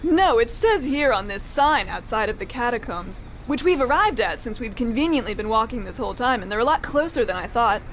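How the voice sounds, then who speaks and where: High-voiced exasperated wife, acted by a woman. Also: expressive, brisk, few pauses, slight background noise, close to an everyday voice. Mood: dry, disenchanted. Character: exasperated, dry, disenchanted American wife on holiday. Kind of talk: comedic radio play acting.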